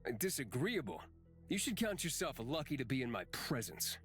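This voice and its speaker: A man's voice: medium pitch, big pitch swings, moderate pace, fairly bright tone, faint music behind. Man acting a haughty, impatient male investigator. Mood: scornful, impatient. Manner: scornful, curt. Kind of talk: visual novel game voice acting.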